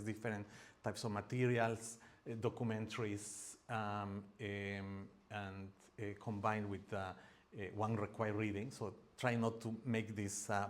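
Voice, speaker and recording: Middle-aged man, Peruvian accent, slightly low voice, halting academic seminar delivery with frequent fillers. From Peru. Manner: hesitant, many fillers and restarts. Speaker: academic presenter. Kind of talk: seminar presentation.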